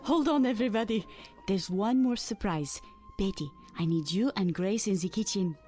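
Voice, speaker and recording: A woman's voice: mid-range voice, moderate pace, loud music behind the voice, lightly acted. A woman acting in a radio drama as a suburban wife and mother. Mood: bright, festive.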